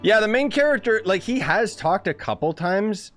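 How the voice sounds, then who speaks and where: A man's voice: sits high, lively intonation, moderate pace, fairly bright tone, no echo, soft background music. A man giving casual, playful, joking commentary while playing a video game. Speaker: gaming streamer.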